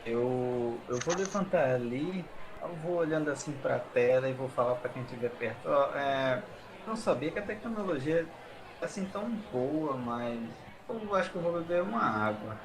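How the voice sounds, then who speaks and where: A man's voice: medium pitch, expressive, moderate pace, a crowd in the background, low-quality recording. A Brazilian man playing a tabletop RPG, improvising his character's actions and lines in casual speech. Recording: faint background noise.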